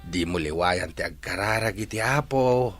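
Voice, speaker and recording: A man's voice: sits low, lively intonation, normal speed, a bit bright, soft background music. A man with an Ilocano accent playing a devout, caring older father in a radio drama. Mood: tender advice. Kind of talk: Philippine radio drama acting.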